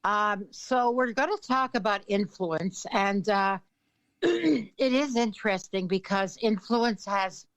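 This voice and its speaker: An older woman from Canada, an author on influence, talks as an interview guest at an unhurried pace, pausing on fillers and clearing her throat. Her pitch is ordinary for a woman, and the sound is dry and close, as over a remote call. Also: no room sound, rough recording. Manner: slow, thoughtful, many fillers and throat clearing.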